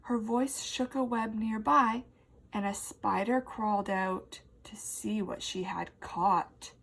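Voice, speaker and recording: A woman's voice: medium pitch, steady tone, takes it slowly, vocal fry, fairly bright tone, breathy edge. A woman teacher reading a children's story aloud expressively and asking young listeners questions.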